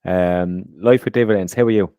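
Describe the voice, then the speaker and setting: A man's voice: low voice, moderate pace, mellow, clear, resonant tone, no echo, studio quality. A man co-hosting a podcast in a casual chatty way, often repeating words as he talks. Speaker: podcast co-host. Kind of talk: casual chat.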